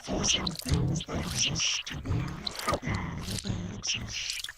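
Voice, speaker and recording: A woman's voice: on the low side, expressive, takes it slowly, loud music behind the voice. A woman voicing a cryptic, brooding creature that disdains existence, in a visual novel game. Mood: bleak, disdainful. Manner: cryptic, philosophical monologuing. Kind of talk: visual novel character acting.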